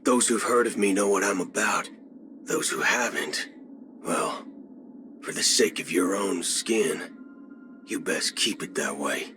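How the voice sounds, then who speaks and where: An actor playing a cocky, folksy cyborg cowboy outlaw in a video game, with a Southern American drawl. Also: male voice, deep voice, unhurried, long pauses. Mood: cocky, menacing. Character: swaggering cyborg cowboy gunslinger outlaw, adult male. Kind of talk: video game character voice lines. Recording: music under the voice. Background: background music.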